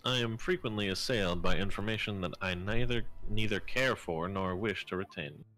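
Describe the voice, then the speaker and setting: A man voicing an eccentric, self-assured gentleman detective in a video game fan dub, speaking in pompous, formal, old-fashioned phrases. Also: fairly low pitch, normal speed, rough recording. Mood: lofty.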